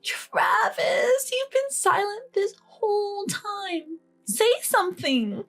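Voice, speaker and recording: A woman's voice: very high-pitched, sing-song, slow, a bit bright, faint music behind, pitched above the performer's own voice, exaggerated voice. A woman voicing a spoiled, entitled young bride in a dramatized family story, whiny, indignant and pleading. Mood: whiny, alarmed.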